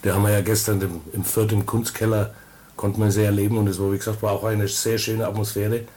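A man hosting a radio interview in a relaxed, chatty way. His voice sits low, and his grammar is loose and informal. A faint hum of room noise sits behind him. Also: fast. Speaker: radio host. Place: record shop studio.

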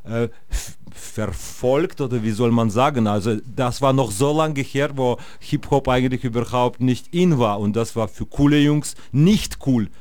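A man's voice: medium pitch, normal speed, few pauses, darkish tone, dry recording. Man, rambling interview guest. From Russia. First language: Russian. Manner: rambling, many fillers and restarts. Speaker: guest musician. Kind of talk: casual interview.

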